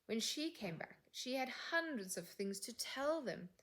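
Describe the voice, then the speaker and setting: A woman reading a fairytale aloud as a storyteller, with deliberate, expressive phrasing and soft, breathy word endings. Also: on the high side. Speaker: English teacher reading stories.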